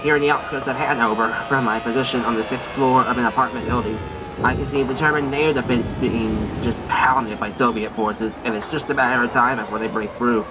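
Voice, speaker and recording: A man's voice: mid-range voice, fairly even intonation, brisk, narrow, tinny audio, background noise, close to an everyday voice. A man playing a war correspondent filing a news field report in a radio drama. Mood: sober, uneasy.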